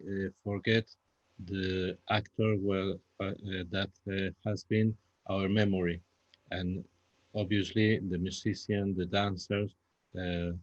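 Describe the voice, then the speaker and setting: A man with a Spanish accent answering panel questions in English as a second language, halting and repeating himself as he searches for words. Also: low-pitched. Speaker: playwright guest. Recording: dull lo-fi, echoey room sound.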